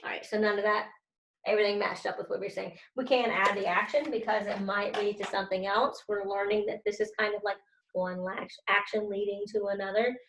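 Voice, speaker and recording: A woman teacher reading to children and commenting as she goes, expressive and stressing key words. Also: mid-range voice, brisk, echoey room, rough recording.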